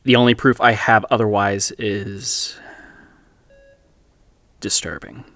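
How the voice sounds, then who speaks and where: An actor playing a grave, deliberate man recording a public statement, solemn and measured, in a scripted audio drama. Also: fairly low pitch, fairly even intonation, fairly bright tone, dry recording. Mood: solemn, troubled.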